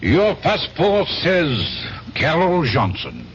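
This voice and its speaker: An actor in a 1970s radio drama playing a formal, suspicious police inspector. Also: male voice, on the high side, wildly swooping pitch, slow, fairly bright tone, full character voice. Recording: muffled, noisy old radio broadcast.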